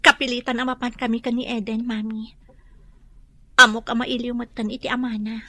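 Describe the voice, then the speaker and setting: A woman's voice: on the high side, little pitch movement, a little fast, bright, forceful tone, no room sound. A Filipino woman acting a hurt, troubled young wife and mother with a plaintive manner in a radio drama. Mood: hurt, protesting.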